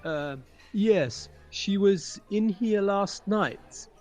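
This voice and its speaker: An actor playing a polite, formal male maitre d' in a wartime audio drama, speaking with measured courtesy. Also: sits high, darkish tone, soft background music. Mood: obliging, hesitant.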